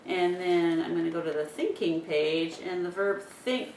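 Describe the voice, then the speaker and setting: A woman's voice: normal speed, a little mellow. A woman instructor talking parents through a communication-device demonstration, explaining each step aloud as she goes. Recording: roomy echo, distant mic, rumbly noise.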